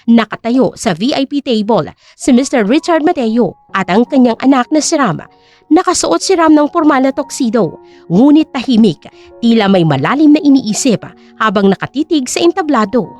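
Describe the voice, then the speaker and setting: A woman narrating an audio drama with a steady, storytelling delivery. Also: fairly high pitch, normal speed, faint music behind.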